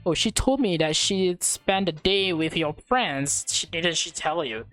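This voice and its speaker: A polite maid from a visual novel, read by a woman, composed and slyly helpful. Her manner is formal and assured, in a slightly low voice with soft music behind. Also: expressive, measured pace.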